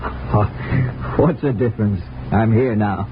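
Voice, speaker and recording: A man's voice: lowish voice, takes it slowly, background noise. A man playing an eager, boyish long-lost brother with a breezy manner in an old-time radio drama. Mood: easygoing. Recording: dull old radio broadcast, loud music behind.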